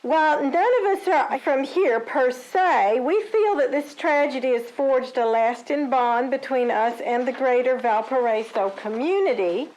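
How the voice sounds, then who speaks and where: A woman's voice: sits high, measured pace, hardly any pauses, a little mellow, poor audio. A woman playing a falsely gracious suburban club spokeswoman in a comic audio drama, sugary and evasive.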